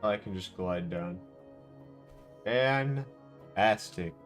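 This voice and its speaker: A man's voice: fairly low pitch, drawn-out words, fairly bright tone. A man streaming a game with casual live commentary, musing aloud and echoing the game's lines. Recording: game music behind the voice.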